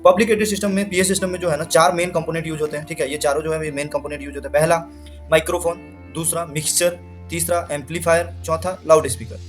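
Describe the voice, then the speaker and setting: A man's voice: fairly high pitch, level tone, fast, soft background music. A man with an Indian accent giving an informal technical lesson, explaining things repetitively with frequent check-in tags. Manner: mixes Hindi with English technical terms. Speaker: instructor presenting an explainer video.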